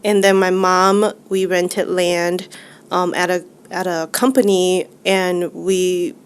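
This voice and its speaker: A woman's voice: fairly low pitch, level tone, slow, smooth. A woman, speaking conversationally as an interview guest. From United States, raised by immigrant parents from Laos.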